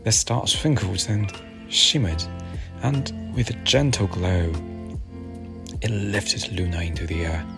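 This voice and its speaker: Deep, slow, hushed man telling bedtime stories. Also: vocal fry. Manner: gentle, hushed, unhurried, at times near a whisper. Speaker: ASMR storyteller and host. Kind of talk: soft, soothing ASMR bedtime narration. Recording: music behind the voice.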